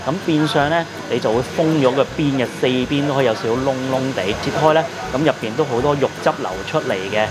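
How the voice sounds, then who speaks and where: A man's voice: medium pitch, quick pace, few pauses. Chatty Hong Kong man, noisy recording. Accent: Hong Kong Cantonese. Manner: chatty, explanatory, frequent sentence particles. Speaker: food vlog host. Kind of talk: casual restaurant review narration.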